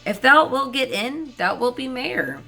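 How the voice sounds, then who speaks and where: A woman's voice: high voice, very animated, measured pace, gravelly, pushed and bright, soft background music, voice pushed up, exaggerated voice. A woman voicing a crafty, poor little peasant man in an emphatic, theatrical storybook manner. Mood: slyly persuasive.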